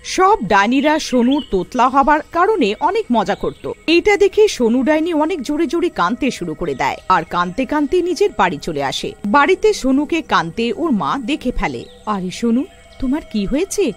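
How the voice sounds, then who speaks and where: A woman's voice: high-pitched, big pitch swings, moderate pace, faint music behind. A woman narrating a scripted cartoon tale as a storyteller.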